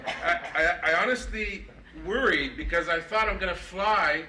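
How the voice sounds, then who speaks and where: A man's voice: high voice, a little slow, heavy background noise. Sarcastic man giving a conference keynote. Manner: sarcastic, ironic delivery; animated, mock-indignant questions. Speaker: keynote speaker. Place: conference hall. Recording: echoey hall, mic from far off.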